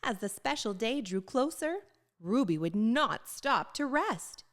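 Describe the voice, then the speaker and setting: A woman reading a children's storybook aloud with expressive, sing-song emphasis. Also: a little high, a little slow. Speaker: children's book reader.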